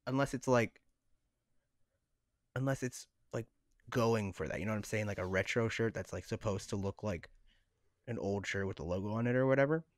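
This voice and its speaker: A man's voice: fairly low pitch, normal speed, long pauses, no echo, studio quality. A man chatting casually as a podcast co-host, with a hesitant, conversational way of talking. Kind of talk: casual chat.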